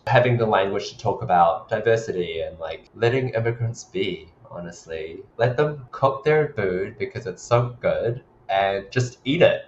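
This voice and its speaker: A man's voice: low-pitched, a little hiss or hum. Kiwi-accented man, echoey lo-fi sound. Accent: New Zealand. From born in Hong Kong, raised in New Zealand. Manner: reflective, often ends points with "right?". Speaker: podcast guest. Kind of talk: casual interview chat.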